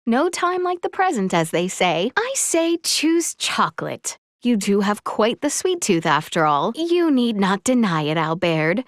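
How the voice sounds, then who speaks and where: A woman's voice: medium pitch, sing-song, unhurried, few pauses, dry recording, clean studio sound. A woman voicing a spirited young princess in a video game, speaking earnestly and expressively in formal, old-fashioned courtly language. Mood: curious, gentle. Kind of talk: video game character dialogue.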